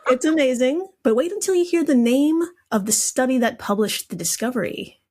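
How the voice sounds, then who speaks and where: Giggly high-voiced woman presenting trivia. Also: animated, a little fast, darkish tone. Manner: giggly, breaks into laughter while talking. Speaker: podcast guest running a trivia game. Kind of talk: playful trivia game presenting, casual chat.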